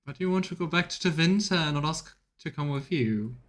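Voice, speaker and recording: A man's voice: on the high side, lively intonation, a little fast. A man giving casual, musing commentary while streaming a game, often trailing off mid-thought. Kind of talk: casual live let's play commentary.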